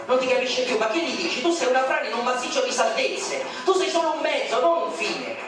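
A man with a high voice declaims poetry on stage with bitter indignation. He stands far from the mic in a cavernous, heavily echoing space, with music running underneath. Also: brisk. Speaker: poetry reader. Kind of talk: theatrical poetry recitation. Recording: very echoey stage recording from far off, music behind.

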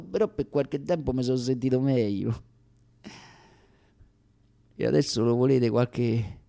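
A man performing a comic radio theatre monologue as an actor, with deliberate, wry, dramatic phrasing and pauses. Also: lowish voice, dark tone.

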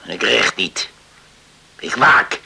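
An actor in a radio play playing a stern, stubborn middle-aged father with a rough voice and a curt, deliberate, unyielding manner. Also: lowish voice, animated, very noisy, exaggerated voice. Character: stern, stubborn island father guarding his daughter.

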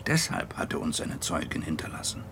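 Gravelly, brooding police detective. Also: male voice, very deep voice, huge pitch swings, a little fast, background noise, heavily acted. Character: grave, weary male police detective on a murder case. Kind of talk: radio drama acting in German.

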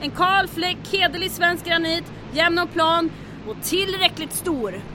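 A woman acting a bold, commanding, unflappable aeroplane captain in an adventure radio drama. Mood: brisk, commanding. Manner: brisk, commanding, confident. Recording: aircraft engine rumble.

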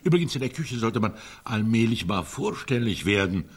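A man's voice: fairly low pitch, big pitch swings, moderate pace, slightly rough. An actor playing a grumpy, dismissive older man with a curt, sardonic way of talking in a German radio drama. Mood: scornful, grumbling.

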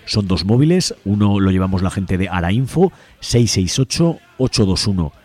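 A man with a Spanish accent from Spain hosts community radio from a studio. His voice is deep and soft-edged as he reads a prepared announcement, with music faintly underneath. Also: lively intonation, moderate pace.

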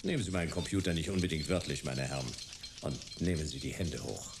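A man's voice: a bass voice, a little fast, background noise. An actor playing a cool, dryly ironic male private detective in a German sci-fi crime radio drama, laconic and commanding. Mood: menacing, dry.

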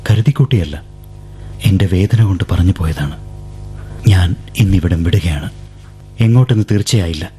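A man's voice: deep voice, mellow, lightly acted. An actor playing a young man urging the woman he loves to leave with him, in a radio drama. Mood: apologetic. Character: young man pleading with his beloved, earnest suitor. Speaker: lead character. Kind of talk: 1970s radio drama acting. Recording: old radio broadcast with faint music.

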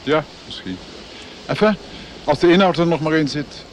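An actor playing a guarded middle-aged monk in a radio play, speaking in terse, measured, insinuating lines. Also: male voice, a little high, natural voice. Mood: evasive. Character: guarded, cryptic monk of about forty, quietly menacing. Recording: noisy old radio drama, outdoor effects.

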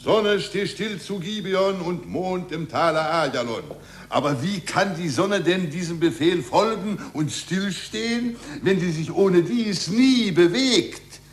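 A self-important, indignant churchman. His voice sits on the high side and he declaims grandly, as in a 1940s radio play. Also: expressive, a little slow. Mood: challenging. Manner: pompous, declamatory. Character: indignant, self-important churchman defending an earth-centred universe.

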